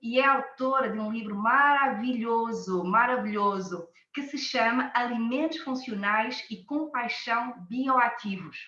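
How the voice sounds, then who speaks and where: A woman's voice: a little high, unhurried, few pauses, bright, forceful tone, reverberant, muffled recording. A woman from France with a French-tinged Portuguese accent, shaped by years in Portugal, hosting a live health webinar with enthusiastic, repetitive emphasis. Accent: French-influenced Portuguese, shaped by years in Portugal. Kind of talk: live online presentation.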